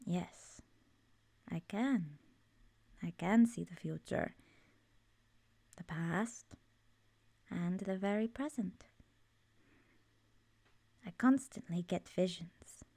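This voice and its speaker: A woman playing an ancient, ominous yet fond swamp witch in an ASMR roleplay, soft-spoken and breathy, often slipping into a whisper, with a measured, cryptic manner. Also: on the low side, no echo, exaggerated voice. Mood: mysterious, musing. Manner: measured, cryptic, solemn, addressing listener as dear.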